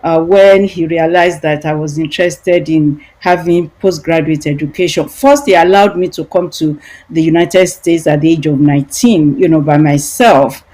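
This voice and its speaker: A woman's voice: low voice, steady tone, normal speed, mellow. A middle-aged woman with a Nigerian accent, answering conversationally as a guest author on a video-call interview. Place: indoor room, video call. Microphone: video-call microphone.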